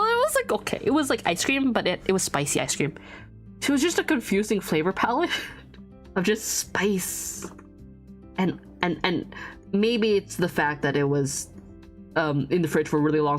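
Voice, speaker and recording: A young woman streaming while she draws, chatting casually with viewers in a giggly, rambling way. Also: medium pitch. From Vietnamese family, born outside Vietnam. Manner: chatty and giggly, lots of restarts and fillers.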